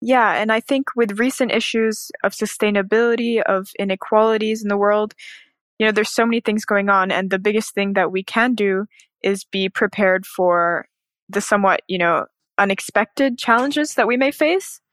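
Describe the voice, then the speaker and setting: A woman's voice: medium pitch, moderate pace, a bit bright, no echo. Upbeat female podcast host, dry sound. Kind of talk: conversational interviewing.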